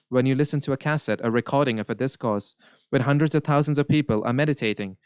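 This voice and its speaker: Smooth-voiced man interpreting. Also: medium pitch, no room sound, clean studio sound. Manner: measured, earnest, occasional self-corrections. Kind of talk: live interpretation of a spiritual Q&A.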